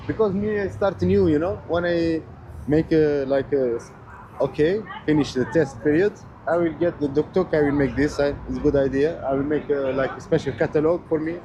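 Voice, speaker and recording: Motorista de tuk-tuk, inglês hesitante de não nativo, voz suave e algo aguda, rua com ruído leve. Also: male voice, on the high side, dark tone, lo-fi sound, slight background noise. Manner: halting, listing languages. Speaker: fellow tuk-tuk driver. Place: outdoors on a Lisbon street.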